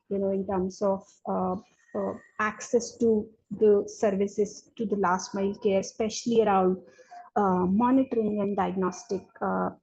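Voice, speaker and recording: A woman's voice: medium pitch, vocal fry, warm tone, breathy edge. A woman giving a webinar presentation over a video call, hesitant and full of fillers. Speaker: incubator speaker. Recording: dull lo-fi call audio.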